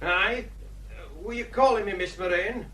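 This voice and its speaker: A man's voice: sits high, a little slow, pushed and bright. An actor playing a resentful, grumbling working-class mill handyman in a radio drama, with a Northern English accent. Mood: cautious, meek.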